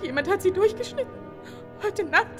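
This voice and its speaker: A woman's voice: very high-pitched, animated, brisk, over music. A woman acting in an audio drama as a terrified, distraught wife, crying in panic. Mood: hysterical.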